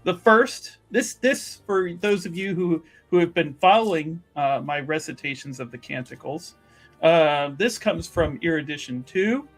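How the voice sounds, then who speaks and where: A man playing a tabletop roleplaying game, narrating his character in halting, deliberate, solemn phrases. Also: a little high, expressive, faint music behind.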